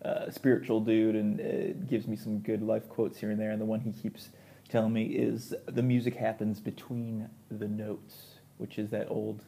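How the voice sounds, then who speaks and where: A man with a fairly low, gravelly voice and a soft, dark tone chats as a co-host. His phrasing is loose and halting, like someone thinking as he goes. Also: monotone. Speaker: magician, podcast co-host. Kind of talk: casual chat.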